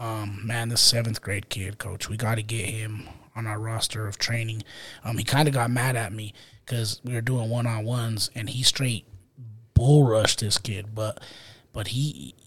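Flat-voiced man, football coach co-host. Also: on the low side, measured pace. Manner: upbeat, calls co-host "brother" and "bro". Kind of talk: casual chat.

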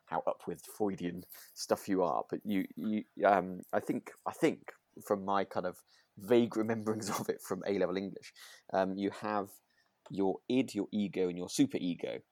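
A man with a low voice talks casually as a podcast co-host. He feels his way through sentences, rambling and restarting as he reviews a drama. The sound is dry, with very little room. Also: fairly even intonation, moderate pace, darkish tone, no echo. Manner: hesitant, rambling, self-correcting.

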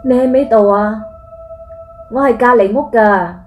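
Woman acting creepy lady neighbour calling through the wall, high voice, super slow and drawn out. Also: a little mellow, soft background music, lightly acted. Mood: eerie, probing. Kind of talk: ghost story radio drama.

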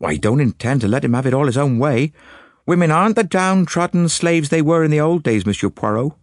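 A man's voice: a little high, animated, a little fast, dry recording, clean studio sound, pitched above the performer's own voice, close to an everyday voice. A man reading an audiobook, voicing an anxious, indignant middle-aged woman who talks in a prim, complaining way. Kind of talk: audiobook character voice.

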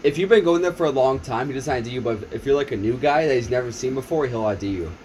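Quick-talking young man. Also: medium pitch, a little mellow, a little hiss or hum. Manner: quick, reactive questioner. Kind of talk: casual chat among friends.

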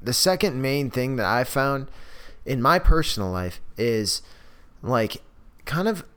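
A man's voice: mid-range voice, a little slow, clean tone. A young man co-hosting a podcast, talking casually and rambling with lots of fillers. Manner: animated, rambling with many fillers. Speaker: podcast co-host. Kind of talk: casual chat.

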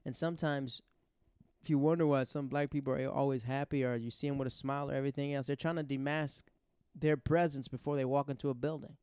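A man's voice: medium pitch, fairly even intonation, fast talker, a little mellow, smooth, no room sound, studio quality. A man talking in a casual, conversational monologue as the host of a show, often acting out remarks he quotes. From grew up abroad in the Netherlands and Japan. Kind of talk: casual personal monologue on social issues.

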